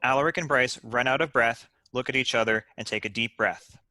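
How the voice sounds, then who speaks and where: A man's voice: mid-range voice, a little fast, sharp tone, no room sound. A man reading stage directions aloud as scripted narration for a comedy script.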